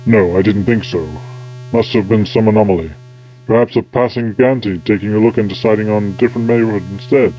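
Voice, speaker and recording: A man's voice: on the low side, steady tone, a little fast, muffled recording, soft background music, close to an everyday voice. A man playing an eccentric, self-important time-travelling scientist in an audio drama, pompous and absent-minded. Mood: musing.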